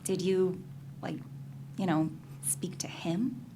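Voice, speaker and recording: A woman's voice: fairly low pitch, steady tone, slow pace, mellow, slight background noise. An actress reading the part of a candid, skeptical girlfriend in a staged reading of a novel, in a conversational, questioning way. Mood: skeptical, curious. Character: candid, curious, skeptical girlfriend probing her lover's secrets.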